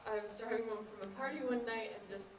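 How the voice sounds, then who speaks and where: A young woman acting an earnest, uneasy peacekeeper with halting speech in a stage play. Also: a little high, steady tone, close to an everyday voice. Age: young adult. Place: indoor stage, recorded from afar. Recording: distant echoey stage recording, faint noise.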